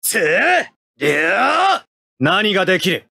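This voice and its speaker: A man's voice: sits high, huge pitch swings, takes it slowly, creaky, pushed and bright, no room sound, studio quality, heavily acted. A man playing a cold, stoic young mecha pilot in an anime video game, speaking in terse, clipped military phrases. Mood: fierce, shouting effort. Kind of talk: anime game battle voice lines.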